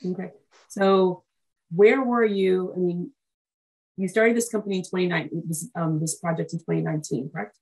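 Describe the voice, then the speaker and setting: Chatty, effusive woman host, lower voice. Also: steady tone, normal speed, a little mellow, low-quality recording. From United States, Haitian family. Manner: effusive, chatty, lots of fillers. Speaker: podcast host. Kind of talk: casual interview chat.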